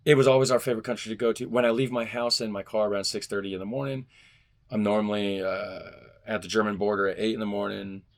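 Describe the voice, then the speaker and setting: A man vlogging at home, reading a fan letter aloud and joking casually between passages. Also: lowish voice. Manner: reads stiffly, then jokes loosely between passages. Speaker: vlogger unboxing fan mail.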